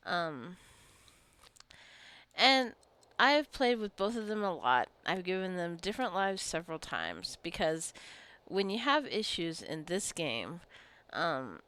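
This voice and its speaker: A woman's voice: lowish voice, big pitch swings, takes it slowly, a bit bright, clear, resonant tone. A woman giving casual, rambling gameplay commentary, drifting into chatty asides as she goes. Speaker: gaming video creator.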